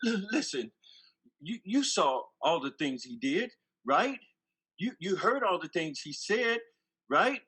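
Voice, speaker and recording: Guy acting worked up disciple in a church play, high bright voice, keeps pushing questions. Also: very animated, slow pace, slightly rough, exaggerated voice, rough recording. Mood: agitated, insistent. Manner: agitated, stammering, questioning. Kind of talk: church dramatic reading.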